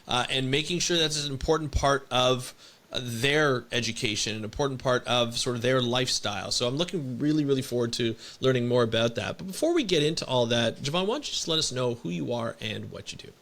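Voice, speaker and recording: A man's voice: medium pitch, a little fast, gravelly, fairly bright tone. Upbeat Canadian podcast host, man. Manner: upbeat and enthusiastic, with some repeated words. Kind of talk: scripted podcast intro and interview.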